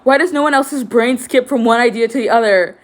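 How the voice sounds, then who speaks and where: A woman's voice: a little high, brisk, pitched above the performer's own voice, natural voice. A woman voicing an anguished, self-loathing teenage boy in a dramatic fan fiction reading, pleading with strained emotion. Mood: pained, bitter.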